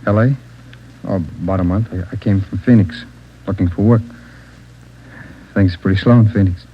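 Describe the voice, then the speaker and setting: A man's voice: sits low, fairly even intonation, normal speed, slight background noise, close to an everyday voice. An actor playing a nervous male drug suspect under police questioning, stammering and evasive. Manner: stammering, evasive, repeating himself. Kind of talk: 1940s radio acting. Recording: muffled old radio broadcast.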